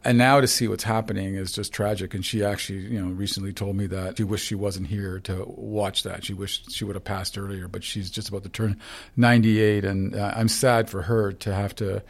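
A man's voice: on the low side, flat delivery, brisk, gravelly. A Canadian man in his sixties, a photographer answering interview questions in an earnest, rambling way with frequent restarts. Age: 60s. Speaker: photographer guest.

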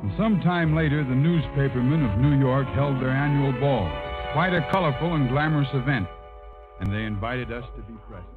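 Leading man male actor: polite, sincere, 1950s radio-play acting, average pitch, vintage broadcast with music. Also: brisk, phone-quality sound, close to an everyday voice. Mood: composed.

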